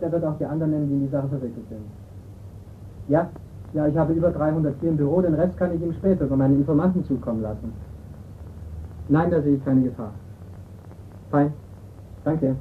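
An actor playing a sober, probing investigator in a radio crime drama, speaking in a measured, questioning way. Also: male voice, on the high side, natural voice. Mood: polite, businesslike. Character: sober, probing male investigator, disappointed in his friend. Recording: tinny band-limited radio recording with hiss.

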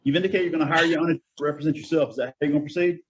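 A middle-aged man speaking as a judge in a brisk, procedural hearing over a court video link. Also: fairly high pitch, a little hiss or hum.

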